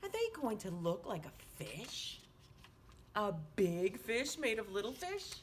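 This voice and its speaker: A woman hosting children's storytime, reading a picture book aloud in an animated, sing-song manner. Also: mid-range voice, slow, a little hiss or hum. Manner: animated, sing-song, with hushed asides to listeners.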